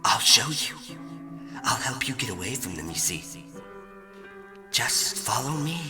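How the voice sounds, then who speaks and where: A man voicing a sinister, smiling apparition in a horror story, coaxing softly with music behind. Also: mid-range voice, very animated, measured pace.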